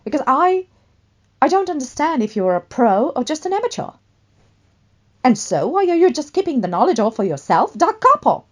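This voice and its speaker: A woman acts out a famous actress and full-blown diva in a radio play. She is vain and quick to flare up, with a haughty, melodramatic delivery. Her pitch leaps about as she gushes or scolds. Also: sing-song. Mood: impatient, scolding. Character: vainglorious, hot-tempered famous actress, a theatrical diva. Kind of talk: one-sided radio play dialogue.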